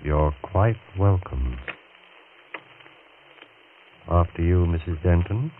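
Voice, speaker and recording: An actor playing a measured, authoritative doctor with a bitter, pointed edge in a 1940s radio drama. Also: male voice, low-pitched, animated. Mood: calm, authoritative. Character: smooth-talking, bitter doctor, a jilted former suitor. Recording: scratchy, narrow-band 1940s radio recording.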